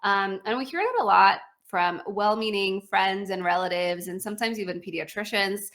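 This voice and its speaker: A woman's voice: mid-range voice, moderate pace, a bit bright. A woman from Poland in her thirties, a feeding specialist chatting as a guest with frequent fillers and restarts. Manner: chatty, many fillers and restarts, laughs easily. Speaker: guest, pediatric speech-language pathologist. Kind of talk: casual interview answers.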